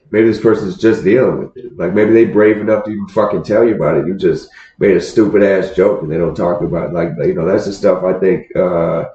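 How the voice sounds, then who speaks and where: A man hosts a loose, casual chat in a low voice, swearing freely and laughing between stories. The sound is roomy and echoey, and it comes across dull and muffled. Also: dark tone. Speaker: podcast host. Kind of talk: casual conversation.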